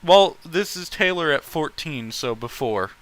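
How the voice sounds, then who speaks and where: Guy reading fanfic and ranting about it, super clear bright voice, blunt and sweary. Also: a little high, lively intonation, measured pace, no echo, poor audio. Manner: exasperated, blunt, incredulous asides. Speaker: host reading fan fiction aloud. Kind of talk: story reading with mocking, sweary commentary.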